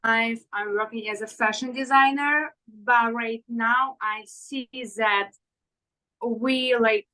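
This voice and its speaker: Slow-talking woman, bright voice. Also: fairly high pitch, fairly even intonation, rough recording. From Ukraine. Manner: halting non-native English with fillers. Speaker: fashion designer guest. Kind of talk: casual interview answers.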